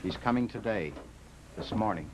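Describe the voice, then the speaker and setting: A man's voice: lowish voice, expressive, normal speed, close to an everyday voice. A man playing a desperate, devoted, downtrodden husband in an old-time radio drama, speaking earnestly and pleadingly. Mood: sad, resolved. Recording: noisy vintage radio recording.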